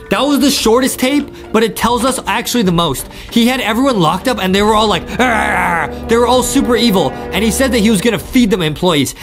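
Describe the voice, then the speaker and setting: A young American man hosting gameplay commentary in a hyped, exclamatory, slangy way. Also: high-pitched. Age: young adult. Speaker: gaming YouTube host.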